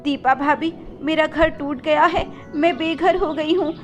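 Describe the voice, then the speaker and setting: A woman voicing an earnest, gentle mother bird who pleads for help in a cartoon. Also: high-pitched, steady tone, measured pace, muffled recording, soft background music, pitched above the performer's own voice. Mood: pleading.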